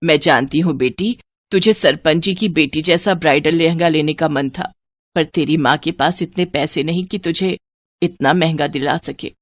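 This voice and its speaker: A woman voicing a humble, loving, weary poor mother, softly and tenderly, in a moral story. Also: low-pitched, normal speed, no room sound, pitched below the performer's own voice. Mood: tender, regretful. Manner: soft, tender, subdued.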